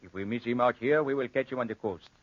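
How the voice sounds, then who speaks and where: An actor playing a brisk, determined army lieutenant leading a search in a 1940s radio drama. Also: male voice, mid-range voice, dry recording, lightly acted. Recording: dull, muffled 1940s radio recording.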